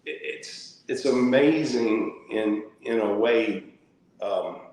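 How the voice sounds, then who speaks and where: Echoey, lowish-voiced man. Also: drawn-out words, darkish tone, a little hiss or hum. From rural Louisiana, United States. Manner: folksy, plainspoken. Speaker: interview guest, barber. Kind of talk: casual reminiscing conversation. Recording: very echoey, reverberant room sound.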